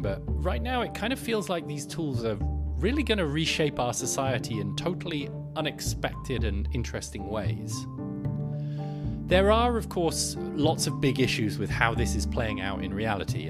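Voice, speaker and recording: A man hosting a podcast, reading scripted narration and an article aloud. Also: mid-range voice.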